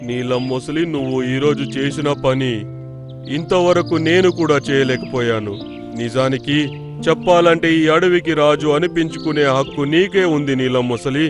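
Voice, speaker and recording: A man voicing a stern, resolute lion king of the forest in a children's cartoon, with a measured, authoritative manner. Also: fairly high pitch, over music, lightly acted. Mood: admiring. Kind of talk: Telugu children's cartoon voice acting.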